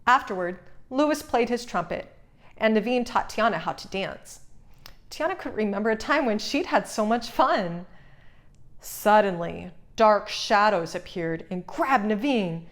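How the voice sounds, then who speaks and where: A woman reads a children's storybook aloud. Her pitch sits in the middle for a woman, and she delivers scripted narration. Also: expressive, a little slow. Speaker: storybook reader.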